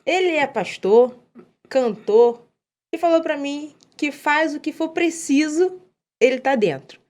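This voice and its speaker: Woman host, somewhat high-pitched, brisk and friendly prompting. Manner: lively, chatty, prompting questions. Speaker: podcast host. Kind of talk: casual interview.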